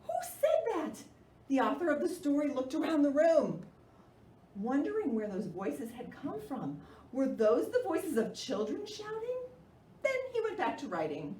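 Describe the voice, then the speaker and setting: An expressive woman with a fairly high, swooping voice leads a children's storytime. She reads and chats to young listeners with theatrical animation. The sound is roomy, picked up a little away from the mic. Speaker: children's librarian and storytime host. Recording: roomy, distant mic, faint noise.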